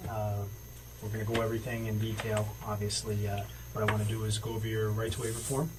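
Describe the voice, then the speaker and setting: Low-voiced male detective, distant mic. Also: little pitch movement, quick pace. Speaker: police detective. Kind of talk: procedural interview questioning. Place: indoor police interview room. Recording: noisy distant interrogation room recording.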